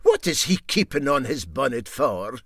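A man's voice: medium pitch, very animated, normal speed, husky, no room sound, studio quality, pitched above the performer's own voice. A man voicing a gloomy, resigned ship's mate with a Scottish accent and a hopeless, complaining manner in a storybook.

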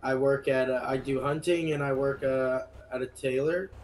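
A man gives curt, laid-back replies over game voice chat. His voice sits at an ordinary middle pitch. Noise from the game chat hisses clearly under his voice. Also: fairly even intonation, normal speed. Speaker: fellow roleplay player. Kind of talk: casual in-game roleplay chat.

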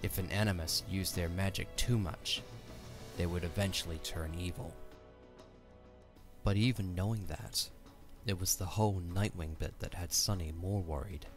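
A man's voice: deep voice, fairly even intonation, moderate pace, faint music behind. A man reading scripted story narration for an audio drama.

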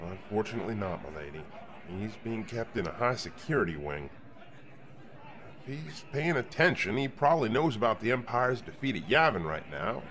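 A man's voice: fairly low pitch, big pitch swings, moderate pace, a bit bright. A man playing a dutiful, respectful starship captain with a grim, dryly wry manner in a science fiction audio drama. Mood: reassuring.

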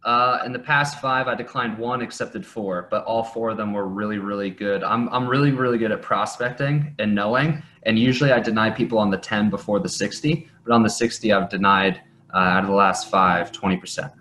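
A man's voice: lowish voice, fairly even intonation, fairly quick, few pauses, pushed and bright. A young man working as a coach, talking in an upbeat, slangy bro style on a video call from home. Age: young adult. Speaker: health and business coach. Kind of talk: conversational sales call. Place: home basement room.

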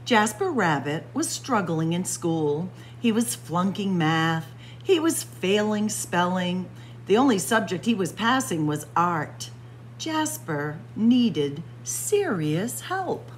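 Expressive woman reading a spooky picture book. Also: on the low side, takes it slowly, a little hiss or hum. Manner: dramatic, expressive storytelling with spooky whispers. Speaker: children's librarian. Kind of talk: picture book read-aloud. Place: library with bookshelves.

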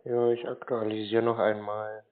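A man's voice: fairly low pitch, little pitch movement. Naive-sounding man on a tinny phone line. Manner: feigned naive, slow-witted, stalling. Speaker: prank caller posing as a naive customer. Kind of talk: casual phone conversation. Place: phone call.

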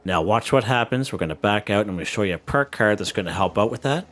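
A man hosting a casual gameplay tutorial, relaxed and step by step, who is losing his voice. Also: fairly low pitch, fast. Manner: relaxed, walks viewers through step by step. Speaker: gaming channel host.

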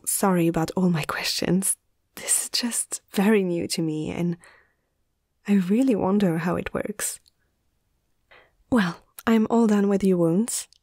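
A woman playing a caring young female veterinarian in a soft, hushed ASMR roleplay, speaking gently and a little hesitantly. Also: medium pitch, husky, no echo, clean studio sound.

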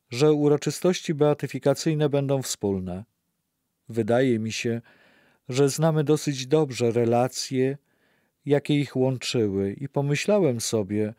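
A man's voice: medium pitch, warm tone, no echo, studio quality, close to an everyday voice. A man playing a reflective narrator of faith in a scripted radio play.

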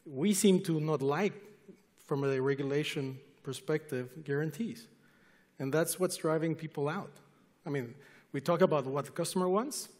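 A man's voice: a little high, darkish tone. A man in his forties, an industry association chair, making an earnest, insistent case on a conference panel. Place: large conference hall stage. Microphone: headset mic. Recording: long hall reverb.

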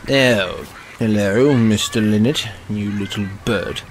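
A man's voice: on the low side, slow pace, a little hiss or hum. A man playing a pompous, self-centred water rat in an audio drama, with a haughty, dismissive manner. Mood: genial.

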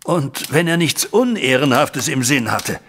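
A man's voice: medium pitch, big pitch swings, a little slow, fairly bright tone, breathy edge, heavily acted. An actor playing an anguished middle-aged father in an audio drama, speaking in a formal, agitated and dramatic way. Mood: despairing. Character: distraught, anguished banker father recounting a family scandal. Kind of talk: German audio drama acting. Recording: studio audio drama with music underneath.

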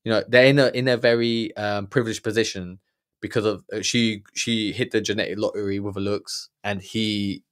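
Male host, 30s, lowish voice; blunt heated reaction commentary, stammering restarts, close studio mic. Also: fairly even intonation, normal speed, a bit bright. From Germany. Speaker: podcast host reacting to a documentary. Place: small room with purple lighting. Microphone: studio mic on boom, earphones.

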